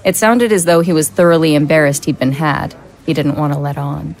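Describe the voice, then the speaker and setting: A woman's voice: low voice, steady tone, fairly quick, darkish tone. A woman playing a shrewd, businesslike vice-consul in an audio drama. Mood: measured. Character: shrewd, hard-nosed female vice-consul, political deputy.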